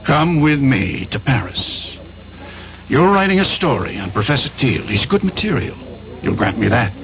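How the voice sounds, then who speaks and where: An actor playing a persuasive, commanding schemer, an importer and war veteran, in a 1970s radio drama. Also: male voice, medium pitch, big pitch swings, a bit bright, slight background noise. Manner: persuasive, self-assured, deliberate phrasing. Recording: dull old radio recording, faint music.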